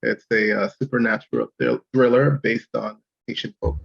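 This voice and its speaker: Gravelly man from Miami, muffled audio. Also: medium pitch, steady tone, a bit bright. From Miami, Florida. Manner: halting, many "uh" and "um" fillers. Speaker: comic co-creator on a panel. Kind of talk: casual panel self-introduction.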